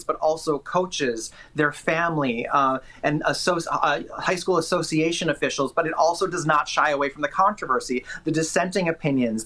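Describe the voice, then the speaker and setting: Bright-voiced, emphatic man reviewing movies. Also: fairly high pitch. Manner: enthusiastic, emphatic, opinionated. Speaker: film critic reviewing new movies. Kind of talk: chatty broadcast movie review.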